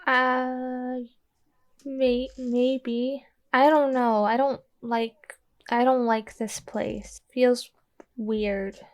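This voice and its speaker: A woman's voice: a little high, flat delivery, very slow. A woman voicing a glum, withdrawn, homesick young female traveler in a tabletop game, sullen, reluctant and hesitant.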